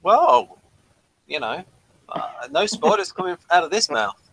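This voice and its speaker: Guy with a crisp bright voice, casual review chat, lots of i, i restarts. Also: sits high, sing-song, measured pace, rough recording. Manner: hesitant, repeats words when starting. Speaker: podcast co-host.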